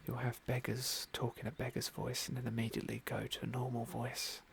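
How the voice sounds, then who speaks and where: A man giving gentle, musing ASMR roleplay commentary on a game in a soft, breathy whisper. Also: mid-range voice, monotone, brisk, a little hiss or hum. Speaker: game playthrough host.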